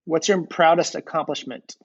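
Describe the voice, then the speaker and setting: A man's voice: a little high, fairly even intonation, normal speed, breathy edge, no room sound. A man hosting a podcast and chatting casually with a guest, on a slightly dull, lo-fi recording. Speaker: podcast host. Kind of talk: casual interview chat.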